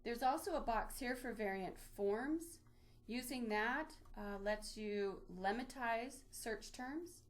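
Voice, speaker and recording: A woman giving an informal, unscripted instructional walkthrough, explaining things step by step as she demonstrates on screen. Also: mid-range voice. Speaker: librarian giving a database tutorial.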